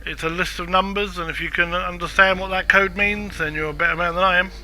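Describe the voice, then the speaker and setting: A man's voice: high-pitched, fairly even intonation, fast, bright, forceful tone, slight background noise. A man casually commenting on a game walkthrough, chatty and self-deprecating. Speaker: gameplay walkthrough narrator.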